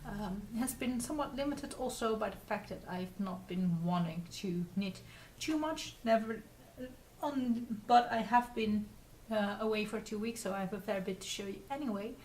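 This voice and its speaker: A woman from the Netherlands with a Dutch accent, hosting a casual craft vlog in a halting, rambling way while getting over a cold. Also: mid-range voice, a little mellow. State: recovering from a cold. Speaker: podcast host. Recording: distant mic with faint noise.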